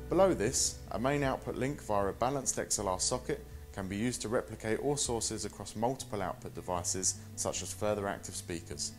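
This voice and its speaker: A man in his thirties presenting a scripted product walk-through in a measured, instructional manner. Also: on the low side.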